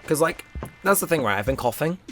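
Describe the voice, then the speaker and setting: A young man with a slightly hoarse, strained throat, rambling casually with lots of fillers while commenting on a game. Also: fairly high pitch, big pitch swings, brisk, fairly bright tone. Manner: rambling, filler-heavy, self-mocking. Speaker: let's play host. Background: game music.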